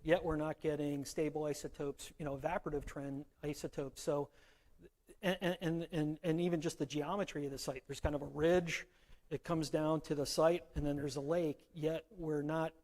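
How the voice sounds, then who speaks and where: A man's voice: on the high side, fairly even intonation, normal speed, darkish tone. A man answering audience questions at a conference, hesitant and repeating his words.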